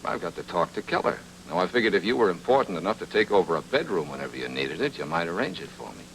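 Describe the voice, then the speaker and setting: Casting: tough noir private eye, low but lively delivery, terse sardonic slang, radio drama. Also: male voice, fast, slight background noise, full character voice, rough recording. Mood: cool, sardonic. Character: wry, tough hardboiled private investigator.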